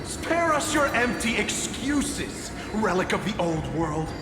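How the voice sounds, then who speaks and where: Casting: mocking fanatic captor for a video game, animated and theatrical, in an echoey space with music behind. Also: male voice, high voice, slow pace, full character voice. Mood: mocking contempt. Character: gloating, cruel male zealot captor passing judgment. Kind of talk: English video game voice acting. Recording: echoey hall reverb under loud music.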